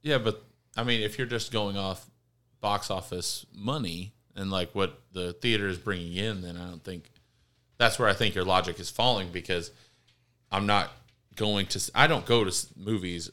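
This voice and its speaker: Low bright-voiced man hosting a podcast. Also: moderate pace. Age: 30s. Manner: joking, argumentative, frequent ums and uhs. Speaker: podcast host. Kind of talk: casual chat among friends.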